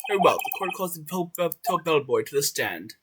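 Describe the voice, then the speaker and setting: A woman voicing a stately, mild male judge in a game, measured and formal. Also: low voice, very animated, slightly rough, pitched below the performer's own voice, exaggerated voice. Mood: solemn. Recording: loud game music behind voice.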